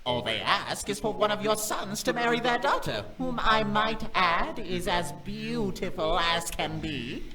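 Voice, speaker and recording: An actor playing an obsequious demon servant in a visual novel, formal, deferential and wheedling. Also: male voice, high-pitched, very animated, measured pace, hardly any pauses, recorded from a distance, crowd noise. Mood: pleading. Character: obsequious, pleading male demon servant to a king. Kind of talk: visual novel game voice acting.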